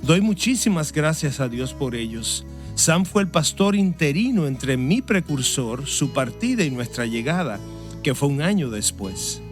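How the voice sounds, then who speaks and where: A male pastor with a fairly high voice preaching in an earnest, measured way. His pitch rises and falls expressively through each phrase. Soft music plays faintly underneath. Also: sing-song. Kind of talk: sermon and interview answers.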